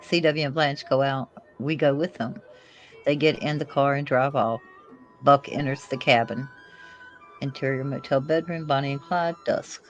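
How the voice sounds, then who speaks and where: A woman's voice: extremely low pitch, steady tone, normal speed, dull audio. A woman reading screenplay stage directions aloud as scripted narration. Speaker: co-narrator, reader. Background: faint music.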